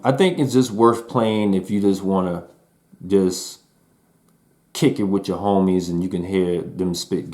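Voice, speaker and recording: Casual male host for a music review, low-pitched, relaxed slangy delivery with fillers. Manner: laid-back slangy talk, lots of "man" and "um". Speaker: album reviewer.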